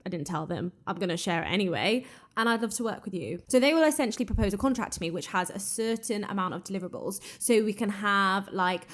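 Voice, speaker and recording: A woman's voice: mid-range voice, quick pace. A woman in her twenties hosting a podcast, talking frankly and casually with lots of "like" fillers, her accent said to carry a faint northern twang. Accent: southern English with a slight northern twang. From England and Ireland. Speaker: podcast host. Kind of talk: casual solo chat.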